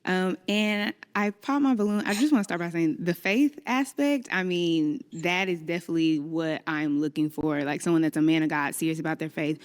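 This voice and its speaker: A woman's voice: low-pitched, smooth. A woman in her thirties, a dating show contestant, explaining herself hesitantly with many fillers. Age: 30s. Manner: hesitant, filler-heavy, laughs nervously. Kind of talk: casual explanation.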